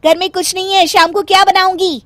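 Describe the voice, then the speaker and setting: A woman's voice: very high voice, fairly even intonation, brisk, fairly bright tone, a little hiss or hum, pitched above the performer's own voice. A woman voicing a haughty young village daughter-in-law who likes to show off, in a cartoon story. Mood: defiant.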